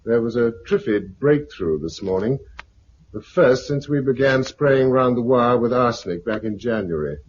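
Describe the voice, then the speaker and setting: An actor playing a weary, irritable, practical British survivor in 1950s radio drama style, clipped and sardonic. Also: male voice, medium pitch, expressive, normal speed, darkish tone, lightly acted. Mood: sober reflection. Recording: muffled, noisy 1950s radio recording.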